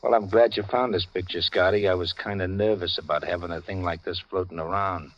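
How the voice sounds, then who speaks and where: A man's voice: sits low, fairly even intonation, brisk. An actor playing a menacing, mocking gangster killer in a 1940s radio crime drama, with slangy tough-guy talk. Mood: cold menace. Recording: dull vintage radio recording.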